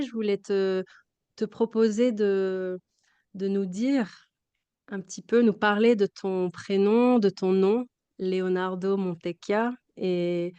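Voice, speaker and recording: A woman hosting an interview, opening the conversation slowly with many hesitations. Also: fairly low pitch, dark tone, clear, resonant tone, dry recording. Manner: slow and hesitant, many fillers. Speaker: host.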